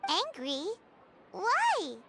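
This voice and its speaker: A woman voicing a tiny, excitable, childlike fairy companion in an anime game, squeaky, curious and exclamatory. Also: drawn-out words, heavily acted. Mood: amazed, curious. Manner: exclamatory, wide-eyed. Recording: music playing behind.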